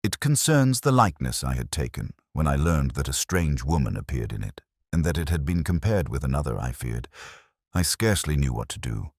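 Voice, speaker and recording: A man's voice: extremely low pitch, big pitch swings, a little fast, no room sound, clean studio sound, full character voice. A man voicing a nervous, deferential young Victorian draper in an audiobook mystery. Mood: nervous, apologetic. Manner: nervous, deferential, plain-spoken. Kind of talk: audiobook detective story.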